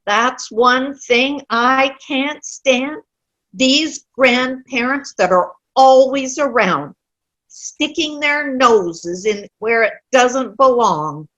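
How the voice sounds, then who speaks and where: A woman's voice: high voice, drawn-out words, a bit bright, dull audio, exaggerated voice. A woman playing a cranky, stubborn elderly widow in an amateur comedy play reading, grumbling bluntly and dismissively. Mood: scornful. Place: online video-call play reading.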